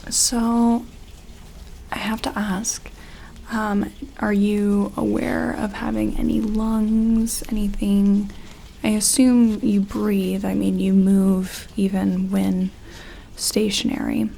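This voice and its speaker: A woman doing a soft-spoken ASMR roleplay as a gentle, curious sci-fi medic, soothing and close to the microphone, leaving long pauses. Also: mid-range voice, flat delivery, vocal fry, a little hiss or hum. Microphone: close-up sensitive ASMR microphone.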